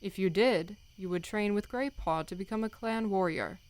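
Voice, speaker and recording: Stern she-cat clan leader played by a woman, solemn and commanding, measured delivery for an animal audio drama. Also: mid-range voice, a little mellow, dry recording, lightly acted. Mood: inviting. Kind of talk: audiobook fandub acting.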